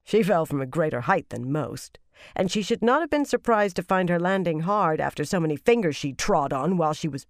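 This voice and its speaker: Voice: medium pitch, very animated, a little fast, few pauses, no echo, studio quality, voice pushed up, natural voice. A narrator voicing a proud, imperious seafaring woman with a haughty, snapping manner in an audiobook. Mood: dismissive, malicious.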